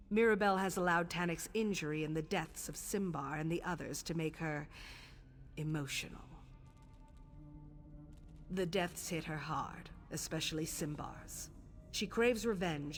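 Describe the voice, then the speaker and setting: An actress playing a stern, composed female guild leader of assassins in a video game, measured, formal and authoritative. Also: low-pitched. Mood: cool, grave. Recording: faint background music under the voice.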